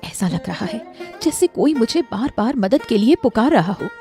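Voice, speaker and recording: A woman's voice: on the high side, expressive, normal speed, warm tone. A woman playing an anxious, thoughtful queen in a Hindi story drama, speaking earnestly. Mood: worried. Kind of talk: Hindi moral-story audio drama acting. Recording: music behind the voice.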